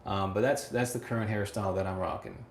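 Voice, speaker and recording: A young man in his late twenties chats through a livestream, reading out viewer comments and answering them. He speaks a little low, laughs often, repeats himself and keeps checking in with "right?". The sound rings around an echoey room. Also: fairly even intonation, fast, slight background noise. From United States. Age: 20s. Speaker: livestream host.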